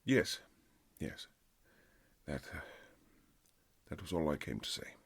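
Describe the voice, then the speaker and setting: Man acting a very deep, gravelly lovelorn gentleman husband. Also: very animated, full character voice. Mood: hesitant, resigned. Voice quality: gravelly, husky. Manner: formal, old-fashioned, halting, earnest phrasing. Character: reserved aristocratic husband, formal, tender, secretly lovelorn. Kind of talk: intimate romantic audio roleplay, period drama.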